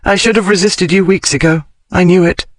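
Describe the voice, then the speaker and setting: A man voicing a blunt, logical lady of a bygone era, speaking in crisp, formal phrases for an audiobook. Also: fairly even intonation, a little fast, no room sound, studio quality, lightly acted. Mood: insistent. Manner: crisp, formal period speech rising in pitch. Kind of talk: audiobook character reading.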